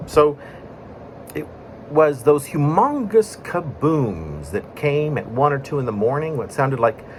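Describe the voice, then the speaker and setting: A man reading his own poem in a conversational storytelling way, breaking it into short phrases with pauses. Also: fairly high pitch, very animated, a little hiss or hum. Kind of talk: spoken-word poetry reading, conversational storytelling.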